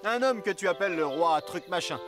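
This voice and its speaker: A cheerful fairy-tale minstrel, with a fairly high voice that bounces all over. He sounds teasing and gallant in a playful cartoon way. Loud music plays underneath. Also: animated, fairly quick. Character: cheerful, teasing minstrel, newly wed to a princess. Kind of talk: dubbed children's fairy-tale cartoon acting.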